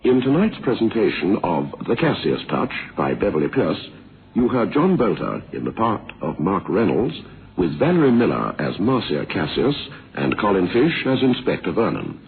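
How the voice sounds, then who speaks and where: A man's voice: on the low side, big pitch swings, moderate pace, creaky, slight background noise. A man giving a formal, scripted announcement as a radio announcer. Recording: tinny old radio broadcast with music. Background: music.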